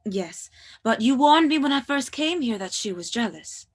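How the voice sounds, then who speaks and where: Husky, coy young woman played by an actress. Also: a little high, animated, normal speed. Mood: polite, guarded. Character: young woman, household employee, coy, gentle secret love interest. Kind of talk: 1940s radio drama acting.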